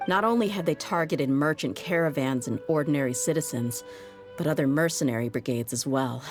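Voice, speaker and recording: A low-pitched, world-weary young woman mercenary, played by a voice actress. She speaks frankly, with dry wit, as if in video game story dialogue. Faint music plays behind her. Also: brisk, close to an everyday voice. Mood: grim, troubled. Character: tough, jaded young woman desert mercenary.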